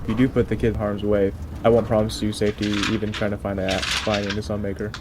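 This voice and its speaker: A man's voice: lowish voice, level tone, quick pace. A man playing a tabletop game, improvising his lines in a casual, conversational way. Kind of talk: casual improvised roleplay chat. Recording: noisy background ambience.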